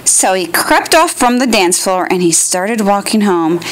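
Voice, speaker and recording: A woman reading a picture book aloud to her young niece at home, in an animated, sing-song way and stopping to point out the pictures. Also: mid-range voice, measured pace, poor audio.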